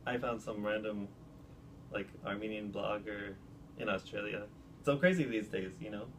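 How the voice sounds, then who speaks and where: A man hosting a casual interview, friendly and quick to laugh, putting questions to his guest. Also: on the low side, slight background noise. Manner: friendly, laughs easily, offers short comments. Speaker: interview host.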